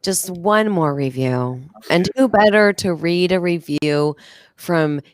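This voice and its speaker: A woman's voice: on the low side, slow pace, a crowd in the background. A woman co-hosting a comedy show in casual banter, teasing and emphatic.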